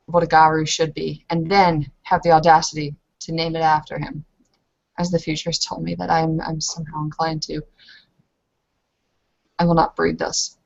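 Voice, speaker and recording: A woman playing a defiant, bitter female character in a tabletop roleplaying game, with dramatic, deliberate phrasing. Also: sits low, dry recording, muffled recording. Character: defiant, bitter woman refusing to bear a mutant child.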